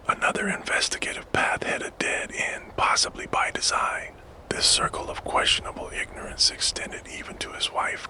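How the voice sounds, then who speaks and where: A man narrating entirely in a breathy, unvoiced whisper, soft and close for sleep listening. His whispered lines rise and fall a great deal, leaning into suspense and rhetorical questions. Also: extremely high pitch, low-quality recording. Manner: fully unvoiced whisper throughout, soft and deliberate. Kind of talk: whispered ASMR true crime narration.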